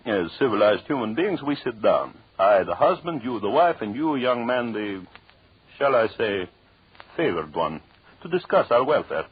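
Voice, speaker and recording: A husky, sinister wronged husband. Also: male voice, mid-range voice, big pitch swings, normal speed. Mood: mock courteous. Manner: theatrical, formal, mock-polite, gloating. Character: vengeful wronged husband, mock-courteous, coldly sinister. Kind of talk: old-time radio drama acting. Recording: muffled, narrowband old radio broadcast.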